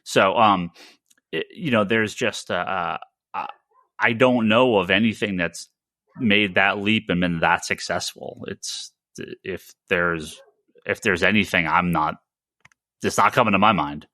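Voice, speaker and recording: Man, podcast co-host, lowish bright voice, halting stammered repeats, casual discussion, dry close sound. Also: dry recording.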